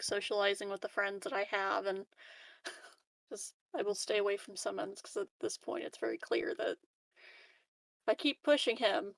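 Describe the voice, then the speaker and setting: Slow, creaky-voiced woman playing a tabletop game. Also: medium pitch, dry recording, poor audio. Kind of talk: casual game chat.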